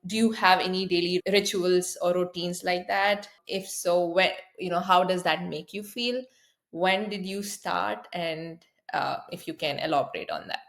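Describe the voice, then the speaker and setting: A woman's voice: lowish voice, animated, measured pace, creaky. A woman hosting a discussion with parents, asking friendly questions with some fillers. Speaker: host. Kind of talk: panel discussion host.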